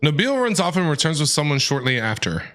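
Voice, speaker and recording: A man's voice: a little high, big pitch swings, fast talker, a bit bright. A man giving casual, reactive live commentary as a game streamer, with slangy remarks. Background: faint game music.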